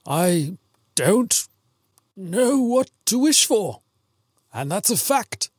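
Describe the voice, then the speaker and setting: A man voicing an elderly father, curious and wistful, in a thin, husky voice, as part of a story reading. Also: high-pitched, very animated, drawn-out words, no room sound, studio quality, voice pushed up, exaggerated voice. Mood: sheepish, doubtful.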